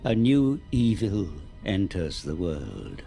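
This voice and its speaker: A man's voice: sits low, huge pitch swings, slow, gravelly, mellow, soft background music, full character voice. A man playing a cunning seer who advises dragons and demons in a video game cinematic, grave, portentous and persuasive. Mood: portentous, solemn.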